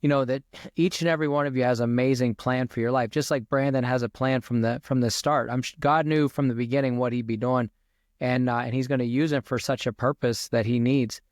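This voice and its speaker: A man's voice: medium pitch, fairly even intonation, fairly quick, dry recording, clean studio sound. A man in his forties hosting a faith podcast, speaking earnestly like a preacher to his listeners from a home office with a headset. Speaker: podcast host and minister. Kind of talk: podcast interview ending in a prayer. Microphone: over-ear headset.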